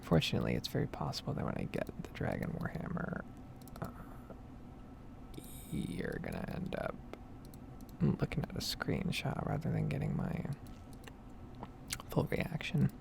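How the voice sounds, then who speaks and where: A woman speaking in a soft, breathy whisper, rambling casually in an ASMR gameplay style, close to the mic with typing and clicking behind her. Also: rumbling low, wildly swooping pitch, normal speed, long pauses, noisy background. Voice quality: breathy, soft-spoken whisper with heavy vocal fry. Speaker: gaming streamer and ASMR creator.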